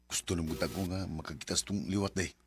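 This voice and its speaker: An actor playing an earnest, devoted young village suitor in a Bisaya radio drama, speaking with a Cebuano Filipino accent. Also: male voice, sits low, fairly even intonation, quick pace, slight background noise. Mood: tender, eager.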